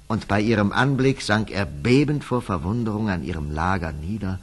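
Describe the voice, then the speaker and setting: Low husky guy telling a fairy tale, measured, lots of rise and fall in his voice. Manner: measured, hushed, expressive. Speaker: storyteller, narrator. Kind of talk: scripted fairy-tale narration.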